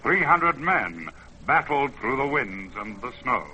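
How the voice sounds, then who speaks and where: A man's voice: medium pitch, big pitch swings, slow pace, sharp tone, slight background noise, full character voice, poor audio. A man voicing a proud, paternal mountain spirit in solemn, stately, declamatory verse for an old-time radio drama. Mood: solemn pride. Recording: vintage radio, music bed.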